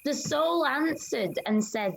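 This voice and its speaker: An actress as a woman disciple in a dramatised ancient scripture, with a fairly high voice. She speaks extremely slowly and reverently, leaving long pauses as she solemnly recounts her vision. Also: expressive, creaky, heavily acted, poor audio. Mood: intense storytelling. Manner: slow, halting, reverent delivery with long pauses. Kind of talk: dramatic monologue of an ancient religious text.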